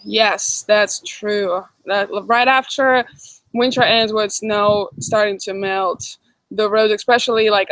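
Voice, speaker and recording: A woman's voice: mid-range voice, a bit bright, dry recording, rough recording. Russian girl chatting thru a livestream q&a, reading comments out, dry close mic. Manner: reads viewer comments aloud and answers chattily. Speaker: livestream host. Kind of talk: casual live chat Q&A.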